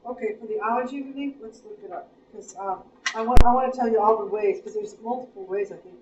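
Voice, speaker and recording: A woman with an Appalachian American accent chats with a small workshop group, listing blends and teasing friends. The sound is distant and roomy, with a muffled, dull tone. The pitch sits at a middle level. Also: fast, background noise. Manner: friendly, chatty, lists items off. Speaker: workshop leader handing out essential oil blends. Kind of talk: casual small-group chat. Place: meeting room, small group.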